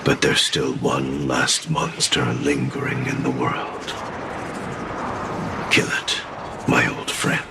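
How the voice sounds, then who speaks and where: An ancient sword spirit speaks in an extremely low voice, slowly and solemnly. It sounds tired of killing, with a gravelly edge, in a dramatic game scene under heavy noise. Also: male voice, level tone, full character voice. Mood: solemn, wistful. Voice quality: very deep gravelly voice. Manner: solemn, deliberate. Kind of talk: dramatic game cutscene acting.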